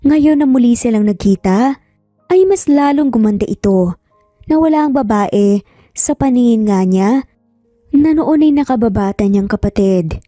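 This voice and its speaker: A woman's voice: on the high side, expressive, moderate pace, pauses often, mellow, no room sound, studio quality, soft background music. A woman with a Filipino accent reading a scripted romance story as narrator.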